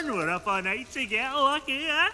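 Goofy, high-pitched young Scottish man in cavernous reverb. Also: sing-song, measured pace, bright, forceful tone, exaggerated voice. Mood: giddy. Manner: bumbling, jokey, nervous rambling. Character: goofy lovestruck young man, nervous joker. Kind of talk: radio horror drama acting. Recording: long reverb, faint noise.

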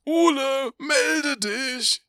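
A man voicing a spiteful young villain from a folk tale, calling out in a shrill, drawn-out way. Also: sharp tone, no room sound, studio quality, exaggerated voice. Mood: taunting, shouting.

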